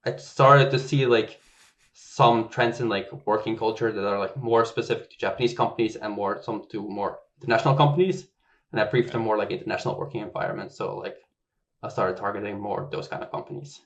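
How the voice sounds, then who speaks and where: Guy from belgium chatting as a podcast guest, lowish voice, kinda muffled sound. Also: a little fast. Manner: relaxed, chatty, heavy use of "like". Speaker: software engineer guest. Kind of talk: casual conversational interview.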